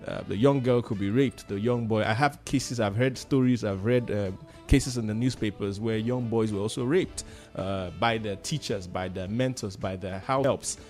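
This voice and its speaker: Low-pitched Nigerian man in radio discussion. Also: moderate pace, few pauses, darkish tone, soft background music. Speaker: discussion panelist.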